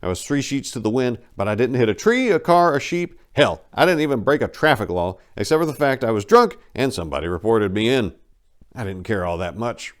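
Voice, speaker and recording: A man's voice: mid-range voice, big pitch swings, fairly quick, clean tone. A man reading a first-person memoir as a dramatic audiobook, in a wry, conversational storytelling manner. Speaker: audiobook narrator.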